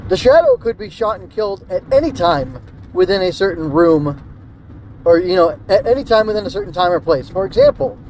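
A man's voice: sits high, very animated, a little fast, a little mellow, a little hiss or hum. A man giving a casual solo podcast review, rambling and hesitant as he thinks aloud. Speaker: podcast host reviewing an old radio drama.

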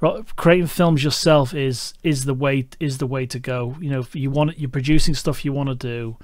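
A man's voice: mid-range voice, fairly even intonation, moderate pace, no echo. A middle-aged man with a Scouse accent hosting a podcast interview, talking casually and rambling with many restarts. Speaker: podcast host.

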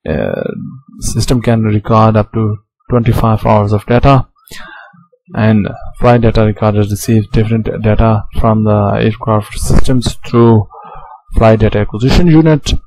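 Gravelly low-voiced male lecturer. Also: darkish tone, rough recording. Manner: halting, frequent restarts and fillers. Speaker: aviation instructor. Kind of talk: slide lecture. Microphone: voice-over on slides.

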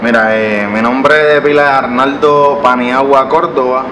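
A Puerto Rican man in his thirties tells his story slowly, stopping often and starting his sentences over. He speaks at a mid pitch. His voice carries the echo of a studio set and reaches a camera mic placed some distance away, with a steady hiss of noise behind it. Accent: Puerto Rican Spanish. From Puerto Rico. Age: 30s. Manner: rambling, many hesitations and restarts. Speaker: barber and business owner, guest. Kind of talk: relaxed storytelling interview answers. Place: living-room style studio set. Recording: roomy sound from a distant mic with background noise.

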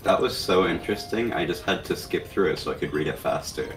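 A man's voice: low voice, a little fast. A man bantering casually over gameplay in a dry, sarcastic way. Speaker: gamer co-playing on a shared controller. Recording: distant mic, faint game music. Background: faint video game music and sounds.

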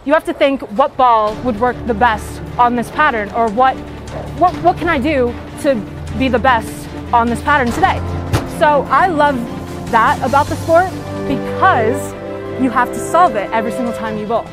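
A woman's voice: high-pitched, moderate pace. A teenage girl talking casually about bowling in an upbeat, chatty way with lots of fillers, interviewed in a bowling alley. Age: teens. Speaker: high school bowler. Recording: music playing underneath.

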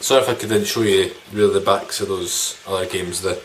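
Male vlogger, thirties, lowish pitch, loose and rambling informal delivery, home recording with slight noise. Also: fairly even intonation, a little fast, fairly bright tone, rough recording. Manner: rambling, informal, mildly sweary. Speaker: video game collector vlogger. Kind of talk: casual chat showing game pickups to camera. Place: small living room indoors. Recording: background noise, room ambience.

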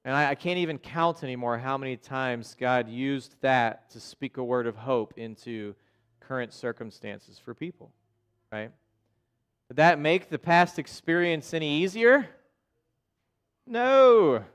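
A man's voice: mid-range voice, measured pace, smooth. An American man preaching as a pastor in an informal, conversational way, often checking in with his listeners. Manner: informal, checks in with listeners using "okay?" and "right?". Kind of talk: conversational sermon. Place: church sanctuary in front of a congregation.

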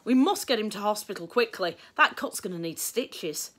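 A woman voicing a brisk, exasperated English mother in a storybook, firm and emphatic. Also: medium pitch, animated, a bit bright, pitched above the performer's own voice. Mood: urgent. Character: exasperated, brisk mother of two squabbling boys.

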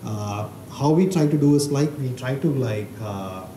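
A man's voice: medium pitch, animated, a little slow, dark tone. Casting: hesitant man in his thirties explaining code at a meetup, echoey room, audible background noise. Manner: hesitant, many uhs and likes. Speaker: conference speaker. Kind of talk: technical meetup talk. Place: meeting room with audience. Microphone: handheld mic. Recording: roomy hall with background noise.

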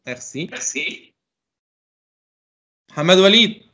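A man's voice: high-pitched, lively intonation, slow, sharp tone. A middle-aged man teaching a French class over a video call, calling on students and patiently correcting their answers. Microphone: laptop mic on a video call.

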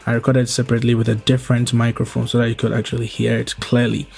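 A young adult man narrating an explanatory tutorial as its host, going through it step by step in a measured way. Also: medium pitch, completely flat delivery, gravelly, darkish tone. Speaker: host of a video editing tutorial. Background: background music.

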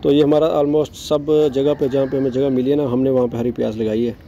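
A middle-aged man giving a relaxed, chatty tour of his rooftop garden, mixing English words into his speech, recorded outdoors on a phone. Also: medium pitch, a little fast, slight background noise. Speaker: gardening vlogger. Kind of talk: casual show-and-tell narration.

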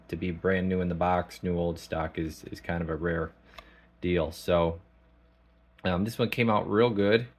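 A man giving a casual product demonstration as a technician, thinking aloud in a halting, informal way. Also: low voice. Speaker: radio technician. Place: small indoor electronics workshop.

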